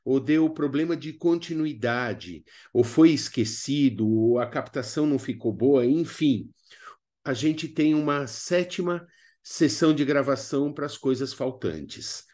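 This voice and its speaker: A Brazilian man narrating an instructional video as a workshop teacher, speaking expressively and didactically with emphatic pauses. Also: a little high, warm tone.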